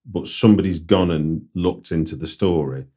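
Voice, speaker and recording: A man from Manchester with a Mancunian accent, hosting a podcast interview in a relaxed conversational way. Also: deep voice, normal speed, husky. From Manchester, England. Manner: conversational, frequent backchannel murmurs. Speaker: podcast host. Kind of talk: casual interview chat.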